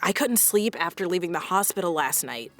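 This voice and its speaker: Stressed radio station co-worker. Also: female voice, fairly low pitch, lively intonation, fairly quick, a bit bright. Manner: exasperated, wordy. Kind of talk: comedy audio drama dialogue.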